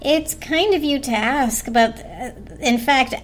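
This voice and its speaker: A woman's voice: high-pitched, takes it slowly, faint music behind, pitched above the performer's own voice. A woman voicing a polite, flustered toaster in a storybook, speaking hesitantly with stammers. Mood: flustered politeness.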